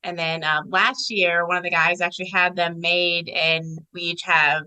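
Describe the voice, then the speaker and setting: A woman's voice: fairly low pitch, fairly even intonation, normal speed, bright, forceful tone, smooth, poor audio. A woman giving informal, explanatory answers in a conversational interview about her job as an air traffic controller.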